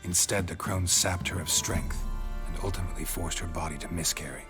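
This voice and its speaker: Male actor as stoic monster hunter, extremely deep voice, terse, world-weary, fantasy game dialogue, music underneath. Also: a little fast, close to an everyday voice. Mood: grave. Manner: terse, matter-of-fact, stoic. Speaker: game protagonist. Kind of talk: restrained video game voice acting.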